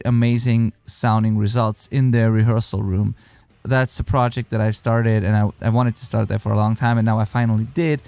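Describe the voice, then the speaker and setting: A man's voice: medium pitch, darkish tone. A man hosting a podcast, speaking English as a non-native speaker in an earnest, rambling way with frequent restarts. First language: not English. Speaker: podcast host, mixing engineer. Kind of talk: podcast outro monologue.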